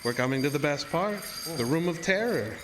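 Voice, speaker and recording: An actor playing a politely menacing carnival illusionist in a horror audio drama, speaking in a formal, measured, mock-courteous way. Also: male voice, on the high side, lively intonation, crowd noise, lightly acted. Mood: quietly menacing. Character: sinister, politely menacing male carnival dark-ride illusionist.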